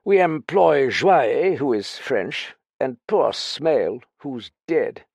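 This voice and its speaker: A man's voice: medium pitch, very animated, takes it slowly, creaky, a little mellow, husky, no room sound, pitched above the performer's own voice, full character voice. A man voicing a lanky, drunk former officer who talks with pompous dignity and vague, blustering self-importance. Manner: slurred dignity, giggling, blustering.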